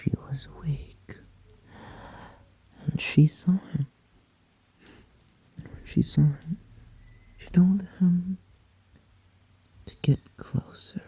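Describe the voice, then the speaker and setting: A woman softly narrating an ASMR bedtime story in a hushed, breathy whisper, with long pauses between short phrases. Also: low-pitched. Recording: muffled sound with rain behind. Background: soft rain.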